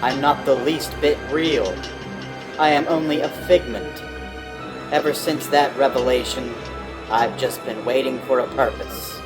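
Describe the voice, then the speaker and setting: A man's voice: mid-range voice, a little slow, music in the background, close to an everyday voice. A man playing a world-weary, grandiose satyr warrior in a storybook, speaking in a theatrical, declamatory way. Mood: fatalistic, longing. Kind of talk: storybook character voice.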